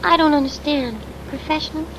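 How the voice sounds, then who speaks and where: A woman's voice: high-pitched, steady tone, a little fast, a bit bright. A woman playing a naive, affectionate young wife in a 1950s radio drama, with an innocent, wide-eyed manner. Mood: puzzled, concerned. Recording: noisy vintage radio recording.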